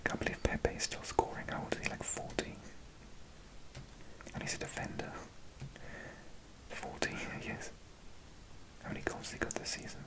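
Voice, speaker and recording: A Welsh man in his forties, whispering softly as an ASMR host, slowly reading out a list of results with chatty asides. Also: poor audio. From Wales.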